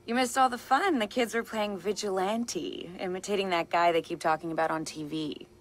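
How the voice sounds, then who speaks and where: A woman's voice: medium pitch, fairly even intonation, moderate pace, slight background noise, lightly acted. A woman playing a worried, stubborn younger sister and young mother in a naturalistic video game scene, plain-spoken and conversational. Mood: amused, nostalgic. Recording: music under the voice.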